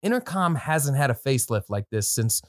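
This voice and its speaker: A man's voice: medium pitch, sing-song, normal speed, no room sound. Casual male podcast host, dry close sound. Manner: informal, slangy, conversational asides. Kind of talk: casual product commentary, part reading from notes.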